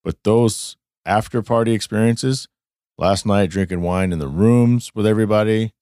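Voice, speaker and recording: A man hosting a casual podcast, hoarse and losing his voice, talking in a loose, rambling way with some swearing. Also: lowish voice, normal speed, darkish tone, no room sound, studio quality. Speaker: podcast host. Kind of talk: casual chat. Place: studio.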